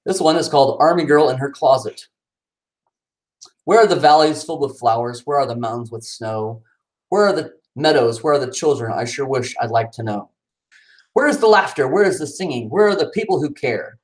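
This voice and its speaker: Man, mid pitch, home reading, casual chat, dramatic poetry recital, rising and falling delivery. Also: animated, brisk, rough recording. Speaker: poet reading his own poems.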